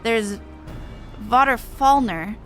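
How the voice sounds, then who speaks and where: A woman voicing a gentle, thoughtful young dragon in a fantasy game, speaking in a measured, formal way. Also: on the high side, faint music behind. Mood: calm. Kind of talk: reading game dialogue aloud in character.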